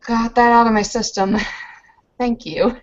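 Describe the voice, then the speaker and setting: A woman's voice: fairly high pitch, fairly even intonation, unhurried, highs cut off, voice pushed up, close to an everyday voice. A woman playing a jovial, cocky, sweary young female werewolf brawler in a casual, cheeky way.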